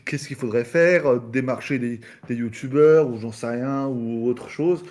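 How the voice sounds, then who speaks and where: A man with a warm, dark voice at a medium pitch takes part in a casual debate. He sounds hesitant and questioning as he works toward his point. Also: unhurried. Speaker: debate participant.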